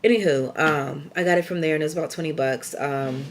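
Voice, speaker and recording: A young woman with a scratchy, raspy voice from a lingering cold, talking casually in a rambling vlog update full of ums and asides. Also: low voice, brisk. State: recovering from a cold. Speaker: vlogger.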